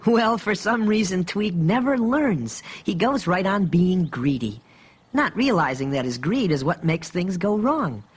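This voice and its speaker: A man voicing a kindly young toy bear who tells children's stories in a gentle, patient manner. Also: high voice, sing-song, unhurried, background noise. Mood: kindly, reflective. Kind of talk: children's storytelling.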